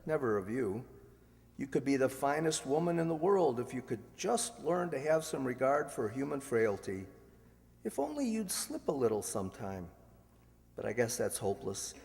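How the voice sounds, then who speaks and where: A man's voice: medium pitch, vocal fry, darkish tone. A man playing a witty, needling, urbane ex-husband in an amateur radio play, sardonic and theatrical. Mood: reproachful. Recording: echoey hall, long reverb.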